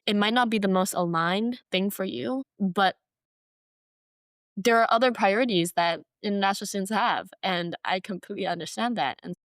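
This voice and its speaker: A woman's voice: medium pitch, clean tone, dry recording. A young woman in her twenties from Hong Kong, talking reflectively as a podcast guest, often saying "like" and "you know". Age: 20s. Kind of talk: podcast interview.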